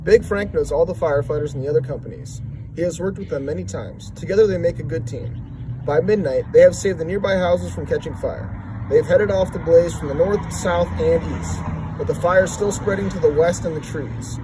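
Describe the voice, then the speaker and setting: A man's voice: fairly high pitch, fairly quick, background noise. A man reading a children's story aloud as narration. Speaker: firefighter reading a children's book.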